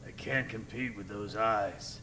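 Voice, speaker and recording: A man's voice: lowish voice, unhurried, a crowd in the background, lightly acted. A man playing a stubborn, melodramatic space captain in comic old-time radio style. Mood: defeated.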